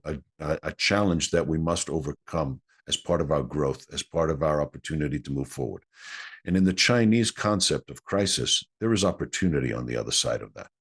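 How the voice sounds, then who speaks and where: A man's voice: a bass voice, husky. A man whose first language is Greek, an executive coach answering interview questions in an earnest, explanatory way.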